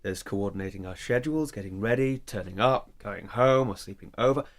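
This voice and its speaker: Actor playing reserved man, lowish pitch, terse, guarded, matter-of-fact, naturalistic radio-play boyfriend. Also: a little fast, close to an everyday voice. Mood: curt, guarded. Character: reserved, evasive, work-absorbed boyfriend. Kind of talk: naturalistic audio drama acting.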